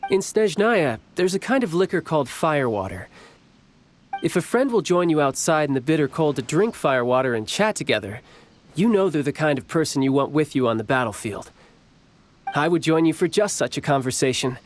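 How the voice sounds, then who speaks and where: Cocky young warrior, lively pitch. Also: male voice, slight background noise. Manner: teasing, confident, playful. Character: cocky, friendly young male warrior from a snowy land. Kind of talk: video game character voice lines.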